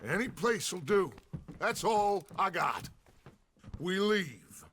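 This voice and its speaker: Gravelly, grandiose American outlaw leader. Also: male voice, sits high, big pitch swings, fairly bright tone, heavily acted. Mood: resolute. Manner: theatrical, deliberate, commanding. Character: middle-aged outlaw gang leader, grandiose, persuasive, embattled. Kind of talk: dramatic video game acting.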